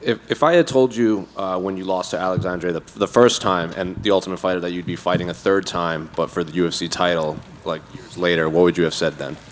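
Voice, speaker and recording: Male reporter, deep voice, press questioning, faint noise on the recording. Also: steady tone, a little fast, hardly any pauses. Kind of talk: asking questions at a press event.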